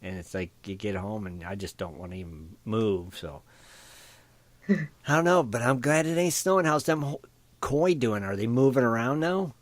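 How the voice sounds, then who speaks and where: A man with an American accent hosting a casual radio show, easygoing and quick to laugh, with folksy phrasing. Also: medium pitch, expressive, dry recording. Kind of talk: casual chat. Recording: lo-fi online radio call.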